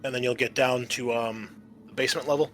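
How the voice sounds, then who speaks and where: A man narrating a tabletop roleplaying game as game master, explaining in a halting way. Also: mid-range voice, steady tone, fairly bright tone, soft background music.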